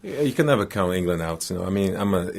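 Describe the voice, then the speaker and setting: A man from Brazil, a non-native speaker of English, talking haltingly and searching for words as a guest on a local radio show. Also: deep voice, animated, gravelly, a little mellow. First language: Portuguese. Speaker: team manager. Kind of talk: radio interview.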